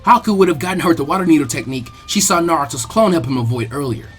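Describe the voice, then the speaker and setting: Guy narrating a fan fiction story, slightly high voice, music playing under it. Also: expressive, very fast. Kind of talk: dramatic audiobook-style narration. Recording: music behind the voice.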